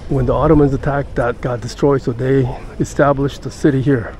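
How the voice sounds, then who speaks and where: An older man giving casual walking-tour narration as a travel vlogger, halting and pausing mid-sentence. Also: mid-range voice, fairly even intonation, a little mellow. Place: outdoors in busy city streets and squares. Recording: outdoor city street noise.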